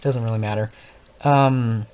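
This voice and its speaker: A man's voice: mid-range voice, steady tone, unhurried, darkish tone, smooth. A man talking off the cuff over gameplay, stuttering and stumbling over his words, with lots of fillers as he thinks aloud. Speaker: gaming channel host. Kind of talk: unscripted casual talk over gameplay.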